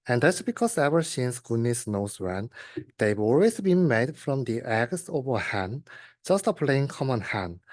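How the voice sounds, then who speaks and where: Young guy reading a rhyming kids book, middle pitch voice, very dry recording. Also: darkish tone, dry recording. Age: young adult. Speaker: university student reader. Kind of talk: reading a children's rhyming story aloud.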